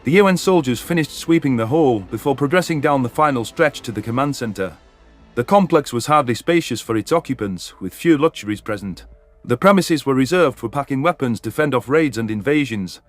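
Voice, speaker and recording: Man acting an earnest, grieving alien soldier, mid-pitched. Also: dry recording, natural voice. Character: grieving, vengeful alien male soldier narrating his war. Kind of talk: first-person sci-fi story narration and dialogue.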